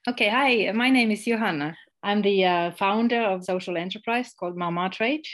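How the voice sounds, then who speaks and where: A middle-aged woman from Finland, a guest speaking casually in an interview, pausing and restarting often. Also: mid-range voice. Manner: halting, restarts often, many fillers. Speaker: guest, social enterprise founder.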